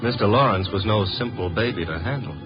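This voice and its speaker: An actor playing a streetwise, wisecracking private detective's assistant in a 1950s radio drama, with a breezy, sardonic, slangy manner. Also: male voice, on the low side, fairly quick, music in the background, lightly acted. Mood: wry. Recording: muffled, noisy 1950s radio recording.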